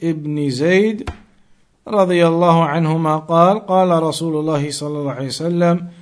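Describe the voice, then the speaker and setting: A man teaching an Islamic lesson, reading out text and explaining it deliberately, with emphatic repetition and rephrasing. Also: fairly high pitch. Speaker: religious teacher.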